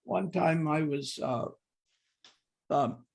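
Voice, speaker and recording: An older man with a heavily gravelly, creaky voice and a fairly high pitch gives a devotional class. He talks conversationally, jokes with the listeners and stacks up repeated "and, and" restarts as he tells stories. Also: extremely slow. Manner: conversational, humorous, questions the audience. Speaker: class speaker.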